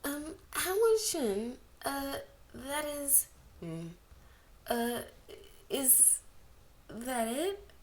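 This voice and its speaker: A woman's voice: high-pitched, sing-song, drawn-out words, pauses often, pitched above the performer's own voice, exaggerated voice. A woman voicing a timid but brave young fangirl in an amateur story reading, stammering and hesitant. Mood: tentative, awkward. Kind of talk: amateur audiobook character voice.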